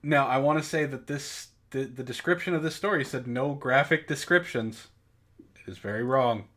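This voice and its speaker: A man's voice: mid-range voice, normal speed, fairly bright tone. Mock-serious man reading aloud. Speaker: podcast co-host and story reader. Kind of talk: casual comedic read-aloud of amateur fiction with asides.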